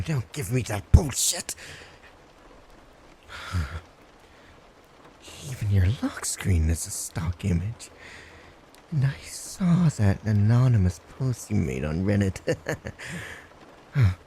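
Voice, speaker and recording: Man acting a creepy low-voiced yandere stalker. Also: animated, creaky, mellow, slight background noise, exaggerated voice. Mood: mocking, blunt, then unhinged muttering. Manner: sardonic, halting, breaking into giggles and mutters. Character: creepy, blunt, unhinged yandere male next-door stalker. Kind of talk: roleplay audio drama addressed to the listener.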